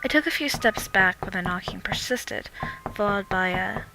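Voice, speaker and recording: A woman's voice: medium pitch, animated, normal speed, vocal fry. A young adult woman reading a first-person horror story as dramatic scripted narration. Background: music.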